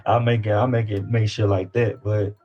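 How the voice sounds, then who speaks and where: A man's voice: fairly low pitch, flat delivery, moderate pace, muffled recording. A man from Louisiana with a Southern US accent, talking casually and slangily as an interview guest, often repeating his phrases. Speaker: independent rapper guest.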